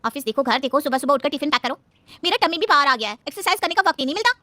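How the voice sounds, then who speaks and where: A woman's voice: sits high, expressive, very fast, pushed and bright, dry recording, pitched above the performer's own voice. A woman playing a harried, outspoken young working daughter-in-law in a family story, chatty and pert. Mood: complaining.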